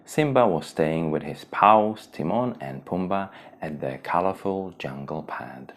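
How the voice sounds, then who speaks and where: Slow, deep-voiced man reading an animated story. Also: slight background noise. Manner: theatrical, expressive, acts out exclamations. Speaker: children's story reader.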